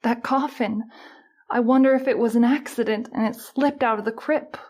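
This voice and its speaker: An anxious, easily frightened woman played by an actress with a high voice. She speaks in the dramatic manner of an old-time radio horror mystery. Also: fairly even intonation, normal speed, a little mellow, close to an everyday voice. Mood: shaken, wondering.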